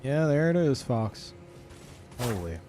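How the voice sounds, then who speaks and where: A man's voice: mid-range voice, very animated, takes it slowly, mellow, soft background music. A man in his thirties casually chatting and swearing as he reads out chat messages while streaming a game.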